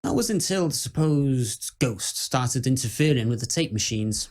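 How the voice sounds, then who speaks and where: A man plays a British haunted-house tour guide in a scripted ghost story. He sounds courteous and solemn, explaining with measured, dry menace at a middling pitch. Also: animated, darkish tone. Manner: measured, explanatory, dryly ominous.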